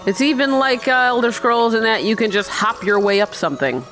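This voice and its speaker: A woman giving casual, chatty let's-play commentary on a video game, thinking out loud as she goes. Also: a little high, fairly quick, faint music behind. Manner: rambling, thinking aloud, chatty. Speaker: gamer narrating her own playthrough.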